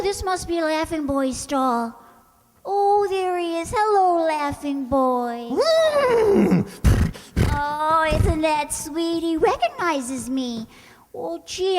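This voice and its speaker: Radio comedy secretary, female actor: soft-hearted, stubborn and dim, high slow voice. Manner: naive, earnest, muddles her words. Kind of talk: old-time radio comedy acting. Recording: echoey hall, long reverb.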